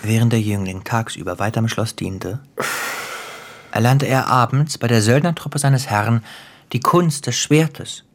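A man acting in a German radio play as a cruel, gleeful crime boss, theatrical and taunting, with a husky voice that drops into whispers. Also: medium pitch, a little slow, lightly acted. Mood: relishing, theatrical. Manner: theatrical, taunting, savoring storyteller.

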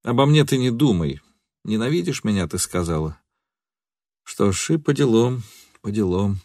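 A man voicing a bewildered, remorseful lover in a story, speaking in a hushed, sorrowful, pleading way. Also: fairly low pitch, expressive, normal speed, no room sound, studio quality, lightly acted. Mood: resigned.

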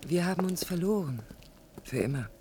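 An actress playing a proud, vulnerable yet resolute woman architect in a radio play, speaking in a reflective, deliberate, emphatic way with a breathy voice. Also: on the low side, expressive, background noise, lightly acted. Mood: wounded, firm. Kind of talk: radio play acting, introspective first-person monologue.

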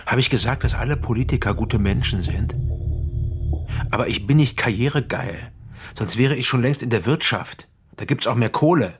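An actor playing a hard-nosed middle-aged politician in a radio drama, stern, lecturing and condescending in a naturalistic way. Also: male voice, medium pitch, expressive, moderate pace, over music, lightly acted. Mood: defensive, heated.

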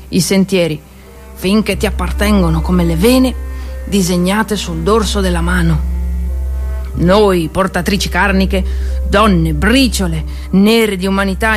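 A woman's voice: mid-range voice, natural voice. An actress playing a weary, hungry but feisty mountain peasant woman, earthy and wry, in a theatrical monologue. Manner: earthy, wry, sighing with effort.

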